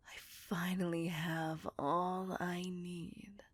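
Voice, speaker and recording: A woman playing a seductive, possessive, coldly vengeful vampire in a slow, intimate, breathy whispered ASMR roleplay. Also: on the low side, flat delivery, no room sound, heavily acted. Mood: tender, possessive. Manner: slow, intimate, deliberate, savouring words.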